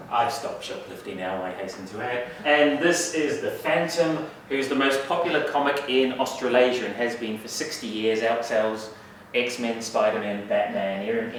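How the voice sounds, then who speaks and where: A man with a New Zealand accent gives a university lecture, dropping wry, self-deprecating asides between frequent hesitations. His voice sits in an ordinary middle pitch. He is picked up from some distance in a strongly echoing room with a faint hum of ambience. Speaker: guest speaker. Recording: echoey hall, mic at a distance.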